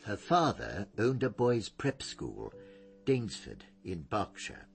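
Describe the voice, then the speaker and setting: An actor playing an elderly former schoolmaster in a radio crime drama, precise and pedantic. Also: male voice, on the low side, huge pitch swings, slow pace, creaky, soft background music, exaggerated voice. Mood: solemn.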